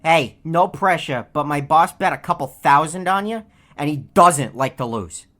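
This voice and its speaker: A low-pitched woman acting as a snarky game bird. The delivery is deadpan and sarcastic, full of mockery. This lazy, greedy heckler taunts like a bored cartoon sidekick. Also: moderate pace. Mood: deadpan mocking. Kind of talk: scripted video game character taunts.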